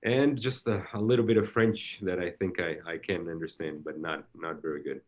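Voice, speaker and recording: A man with a Brazilian Portuguese accent, a soccer technical director, answering interview questions in a halting way and often repeating words while he searches for the next one. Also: low voice, fairly even intonation.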